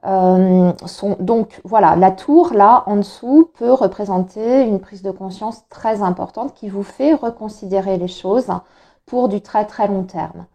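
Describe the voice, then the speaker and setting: A woman with a slight cold and a bit of hoarseness in her throat, giving a monthly tarot reading in a hesitant, explanatory way with many filler sounds. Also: mid-range voice, a little slow, a little mellow. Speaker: tarot reader.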